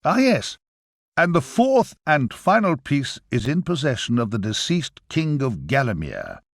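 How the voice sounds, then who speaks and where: An overworked grim reaper from a video game, chatty and wry. His delivery is theatrical, with a mid-level pitch that rises and dips in lively sweeps. The recording is close and dry. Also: measured pace, no echo, heavily acted. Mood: wry, confiding. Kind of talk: scripted video game character acting.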